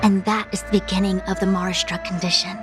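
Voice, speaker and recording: A woman voicing a proud, dutiful diviner for a video game, speaking in a formal, measured, articulate way. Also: mid-range voice, level tone, close to an everyday voice. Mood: calm, explanatory. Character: proud, dutiful female diviner, youthful-sounding commander and lecturer. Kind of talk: English video game voice acting. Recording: music behind the voice.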